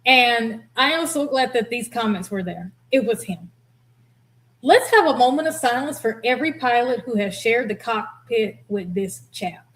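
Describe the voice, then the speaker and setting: Female reaction host, higher voice, blunt and expressive, casual commentary. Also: moderate pace. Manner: blunt, expressive, laughs readily. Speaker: host, former air traffic controller.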